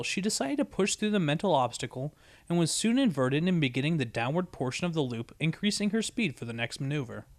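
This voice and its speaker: A man's voice: on the high side, no echo. A man reading a story aloud as scripted narration. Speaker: story reader.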